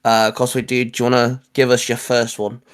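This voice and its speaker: A man with a mid-range voice hosts a relaxed, geeky chat. He speaks haltingly, circling back and repeating the starts of words as he works out what he means. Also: mid-range voice, smooth. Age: adult, a parent. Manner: halting, repetitive, stammered word starts. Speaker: host. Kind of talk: casual geek chat.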